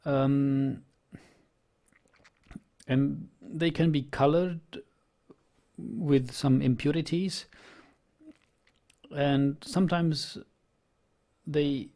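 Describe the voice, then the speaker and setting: A man talks slowly through his game, pausing and restarting his sentences. His voice has a gravelly, creaky edge and sits at an ordinary pitch. He muses aloud and is in no hurry. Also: a little mellow. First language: Czech. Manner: hesitant, musing, many ums and restarts. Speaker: let's play host. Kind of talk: gameplay commentary.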